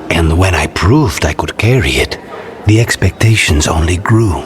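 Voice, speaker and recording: A man's voice: deep voice, lively intonation, normal speed, a little hiss or hum. A man voicing a brooding, courteous assassin with a dry, guarded manner in a video game cutscene. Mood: soft, reflective.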